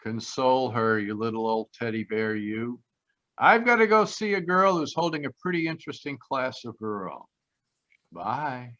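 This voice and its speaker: A man playing a flippant, flirtatious private detective in 1940s radio style, with smooth-talking wisecracks. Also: mid-range voice, very animated, moderate pace, fairly bright tone. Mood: teasing. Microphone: video call. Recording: dull, lo-fi video call audio.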